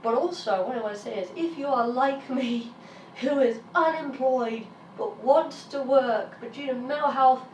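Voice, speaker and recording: A British woman in her thirties, a little raspy from illness, talking frankly and emphatically to her vlog audience. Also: high-pitched, normal speed, lo-fi sound, slight background noise. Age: 30s. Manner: frank, emphatic, swears when frustrated. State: raspy while getting over an illness. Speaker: vlogger. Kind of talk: candid personal vlog monologue. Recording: roomy sound from a distance, faint ambience.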